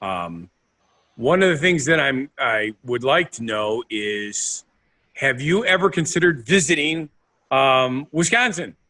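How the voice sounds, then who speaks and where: A man's voice: mid-range voice, very animated, measured pace, pushed and bright. A man co-hosting a podcast in a casual, playful, meandering chat. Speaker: podcast co-host.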